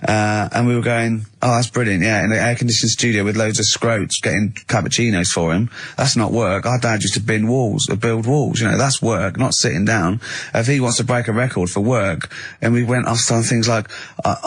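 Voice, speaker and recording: A man's voice: mid-range voice, normal speed, a bit bright, husky, dry recording. Irreverent British man bantering on radio. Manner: irreverent, crude anecdote telling. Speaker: radio show co-host. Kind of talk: casual comic radio chat. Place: radio studio. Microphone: close studio microphone.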